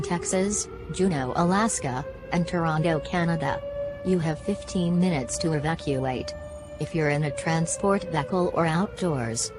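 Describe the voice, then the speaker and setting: A low, synthetic woman's voice delivers an emergency alert as an announcer would. The reading is formal, flat and impersonal, like a computer working through a script. Music plays clearly behind the words. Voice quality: synthetic text-to-speech voice.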